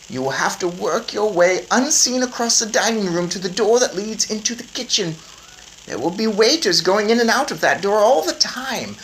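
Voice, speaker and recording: A woman's voice: medium pitch, fairly bright tone, slight background noise, pitched above the performer's own voice, poor audio. A woman voicing a doting, practical old grandmother in a storybook, emphatic and instructive.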